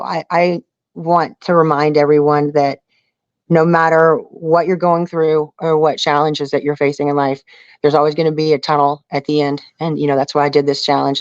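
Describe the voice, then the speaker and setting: A woman's voice: sits low, flat delivery, brisk, no echo. Earnest American woman, dull dry audio. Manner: earnest, rambling, peppered with "you know". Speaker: interview guest, outdoor survival show contestant and angler. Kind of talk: casual interview conversation.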